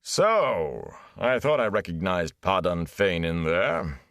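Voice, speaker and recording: A man reading a book aloud and voicing a flamboyant older male gleeman who is theatrical and scornful, with a grand, booming delivery. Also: big pitch swings, slow, gravelly, a bit bright, no echo, clean studio sound, heavily acted.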